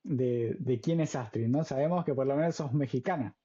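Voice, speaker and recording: Through slightly dull, lo-fi audio, a man with an Argentine accent hosts an informal chat. His voice is husky and mellow, and he asks questions in a casual, conversational way. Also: mid-range voice, normal speed. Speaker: podcast host, interviewer.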